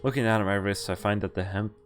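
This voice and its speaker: A low-voiced man plays through a visual novel on stream and reads its text aloud in a plain voice. He drops quick casual asides and small laughs between lines. Soft game music plays quietly behind him. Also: steady tone. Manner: plain reading voice broken by quick reactions and chuckles. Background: faint game music.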